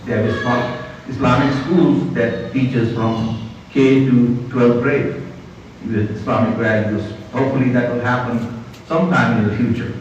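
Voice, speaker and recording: An older man, not a native English speaker, giving a slow, deliberate community address with frequent "you know" and "uh". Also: mid-range voice, steady tone, slight background noise. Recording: distant, dull, echoey hall mic.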